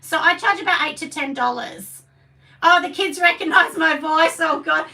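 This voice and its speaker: Husky aussie lady chatting away on a live stream, gravelly edge, sounds a bit far off from the camera. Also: extremely high pitch, normal speed, bright, forceful tone. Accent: Australian. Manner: rambling, chatty, greets viewers by name. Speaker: soap maker hosting a live class. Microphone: camera set down at a distance. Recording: voice a bit distant from the mic.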